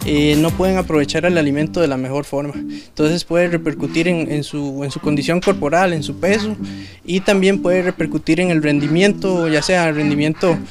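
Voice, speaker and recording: A man's voice: on the high side, fairly even intonation, moderate pace, over music. A young man, an equine vet, patiently explaining in an interview. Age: young adult. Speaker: equine veterinarian.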